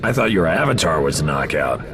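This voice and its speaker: An actor playing a gruff, cocky video game action hero who talks tough in terse wisecracks, with a gravelly voice. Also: male voice, a bass voice, sing-song, a little fast, noisy background. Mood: warm, relieved. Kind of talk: video game cutscene acting.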